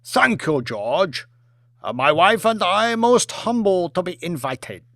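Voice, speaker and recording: A man voicing a polite, effusive Chinese premier in an audiobook, speaking with halting, stilted courtesy. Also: high voice, sing-song, a bit bright, no echo, clean studio sound, voice pushed up, heavily acted. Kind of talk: audiobook character acting.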